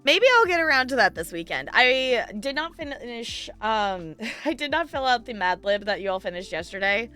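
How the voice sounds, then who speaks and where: Female game streamer, lively and swooping delivery, slightly high voice, casual and playful chat with viewers. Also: normal speed, hardly any pauses, clear, resonant tone, faint music behind. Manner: playful, giggly, animated. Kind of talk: casual livestream chat, rambling.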